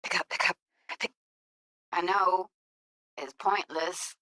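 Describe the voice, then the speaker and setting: A woman's voice: on the low side, slow pace, bright, forceful tone, no echo, studio quality. An actress playing a tough, candid young woman technician in a video game, talking in a casual, slangy, blunt way. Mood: wistful, subdued. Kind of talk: naturalistic game voice acting.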